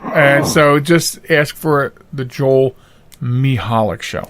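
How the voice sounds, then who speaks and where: A man's voice: medium pitch, slow pace, noisy background. A man hosting a podcast in casual, chatty, rambling and jokey style. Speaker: podcast host. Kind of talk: casual chat.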